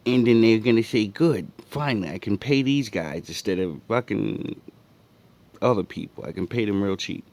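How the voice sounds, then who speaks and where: Casting: man, lowish voice, sardonic reaction-video commentator, swears freely. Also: normal speed, a little mellow. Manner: sarcastic, profane, mocking asides.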